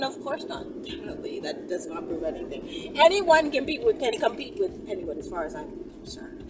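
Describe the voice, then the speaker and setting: A woman in her thirties chatting casually and candidly to camera in a sassy, emphatic way, repeating phrases for emphasis. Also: high voice. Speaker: vlogger answering viewer questions. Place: inside a car, often while driving. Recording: noisy car interior, slightly distant mic. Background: vehicle and road noise.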